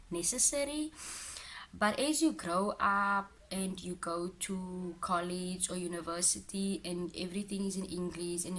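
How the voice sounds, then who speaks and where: A woman's voice: lowish voice, bright, forceful tone, rough recording. A young woman with an Afrikaans accent, telling a personal story in a casual vlog, hesitant with many fillers and restarts. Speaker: vlogger.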